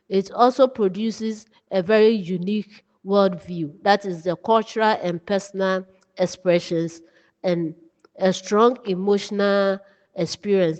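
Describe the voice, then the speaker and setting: A woman giving an instructive lesson, speaking deliberately in short phrases. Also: mid-range voice, darkish tone, highs cut off. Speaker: writing teacher. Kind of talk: lesson narration with read-out examples. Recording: long reverberant echo.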